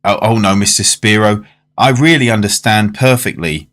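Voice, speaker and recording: A man playing a polite, reflective diner in a radio drama, measured and understated, well-spoken. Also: on the low side, a bit bright, close to an everyday voice. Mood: polite, deferential. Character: polite, reflective male diner narrating a macabre memory. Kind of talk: radio drama narration and dialogue. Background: music under the voice.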